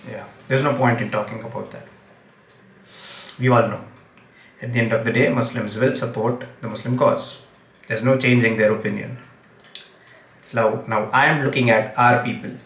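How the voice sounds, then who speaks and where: A man's voice: medium pitch, fairly bright tone. Man musing on a roomy stream. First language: Gujarati. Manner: halting, many fillers and restarts. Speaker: livestream host. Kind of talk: casual commentary stream. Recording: roomy, distant mic, faint ambience.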